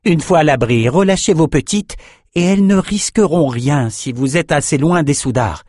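A man voicing a calm, sensible military captain in a fantasy audiobook. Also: on the high side, normal speed, no room sound, clean studio sound, voice pushed up. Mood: firm, advising.

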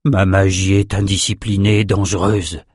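A man's voice: deep voice, level tone, normal speed, a little mellow, husky, dry recording, clean studio sound. A man voicing a troubled male sorcerer in a fantasy audiobook, frustrated, doubtful and emphatic. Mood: grim, frustrated.

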